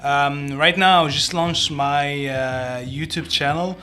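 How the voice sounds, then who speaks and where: A man's voice: on the high side, steady tone, slow pace, bright, forceful tone, soft background music. A man with an Algerian accent answering interview questions in a conversational way, like a teacher explaining himself. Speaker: English teacher, podcast guest.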